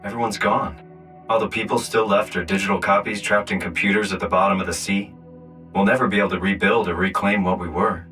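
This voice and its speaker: A man's voice: low-pitched, fairly even intonation, brisk, bright, forceful tone, recorded from a distance, soft background music. An actor with a North American accent playing a weary, distressed man uprooted into a robot body, in a naturalistic, conversational video game style. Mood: despondent. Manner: conversational, swearing, brooding.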